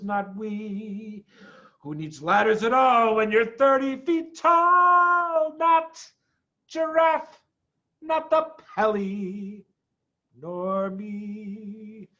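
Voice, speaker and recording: A man's voice: sits high, pushed and bright, voice pushed up, exaggerated voice. A man voicing a skinny, cheerful, boastful monkey from a storybook, in a bouncy singsong of rhymes. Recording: dull, muffled sound.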